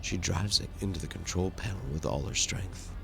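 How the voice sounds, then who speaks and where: A man narrating a video game playthrough in a measured, dramatic storytelling way. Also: low voice, gravelly, faint music behind. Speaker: host and voice actor of a game playthrough.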